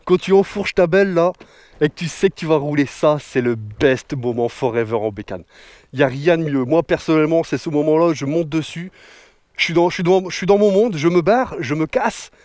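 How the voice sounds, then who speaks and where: Man, thirties, from northern France, high voice, casual slangy biker chat, dry action-camera sound. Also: normal speed, a little mellow, no room sound. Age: 30s. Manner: joking, chatty, with slang. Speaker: motorcycle vlogger. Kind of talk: casual Q&A vlog. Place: outdoors beside his motorbike. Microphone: action camera mic.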